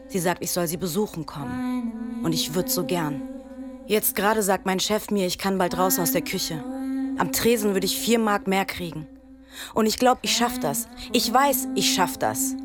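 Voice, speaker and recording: A woman's voice: medium pitch, close to an everyday voice. An actress playing a weary young migrant mother who speaks her confiding prayers in a radio drama, with music behind her.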